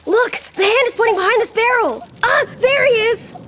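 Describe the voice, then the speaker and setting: A woman voicing an eager, put-upon young boy on a pirate crew in a cartoon adventure, excitable and plaintive. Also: extremely high pitch, brisk, roomy sound. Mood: panicked, excited.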